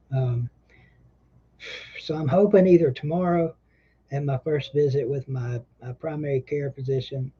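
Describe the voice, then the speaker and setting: Rambling man, husky and mellow. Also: medium pitch, muffled recording. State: short of breath. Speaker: livestream host. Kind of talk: casual livestream chat.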